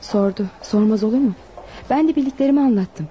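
A woman's voice: a little high, fairly quick, mellow, a little hiss or hum, close to an everyday voice. A woman acting a young, respectful, worried waitress in a radio drama, earnest and deferential. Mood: guarded. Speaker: voice actor. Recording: dull, lo-fi radio play recording.

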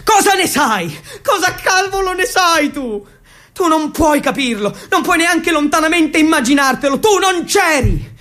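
A man playing a furious, anguished teenage boy, an earthquake evacuee, shouting with emotion in a stage monologue. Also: very high voice, animated, measured pace, pitched above the performer's own voice, full character voice.

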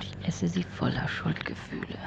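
A man acting a tormented, guilt-ridden figure in a surreal radio play monologue, speaking slowly and haltingly in a solemn, breathy near-whisper. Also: close to an everyday voice. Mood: haunted, hushed. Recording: dull lo-fi radio recording, heavy noise.

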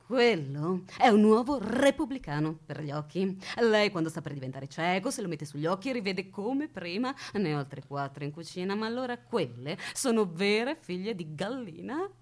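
A woman's voice: mid-range voice, expressive, normal speed, hardly any pauses, a little mellow, natural voice. An actress playing an eccentric, dotty unmarried woman who keeps a captive, speaking with childlike certainty and coy formal politeness in a radio play. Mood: curious. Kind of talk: theatrical radio drama acting.

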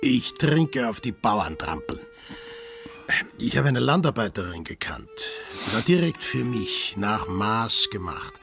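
An actor playing a coarse, bitter village potter in a radio play, talking in an earthy, sardonic ramble. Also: male voice, on the low side, sing-song, a little slow, vocal fry, over music, full character voice.

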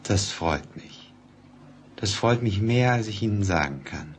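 An actor playing a solicitous but guarded public prosecutor in a radio play, soothing and cautious, in clipped phrases. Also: male voice, fairly low pitch, animated, measured pace. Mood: relieved, soothing. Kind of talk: German radio play acting. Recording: faintly noisy old radio play recording.

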